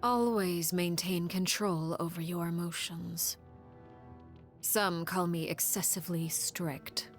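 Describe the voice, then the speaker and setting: A woman voicing a cold, composed, menacing woman leader from a video game, speaking formally and with measured restraint in a soft, breathy voice. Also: on the low side, steady tone. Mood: stern, measured. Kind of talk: scripted game character monologue. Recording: music behind the voice. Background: background music.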